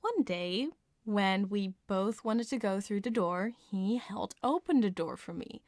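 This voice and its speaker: Female ASMR storyteller, casual and confessional, unhurried delivery with a light giggly touch, very dry sound. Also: medium pitch, a little mellow, no room sound.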